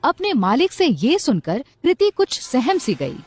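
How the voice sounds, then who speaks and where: A woman storyteller giving an expressive scripted narration of a story. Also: high-pitched, measured pace, slightly rough.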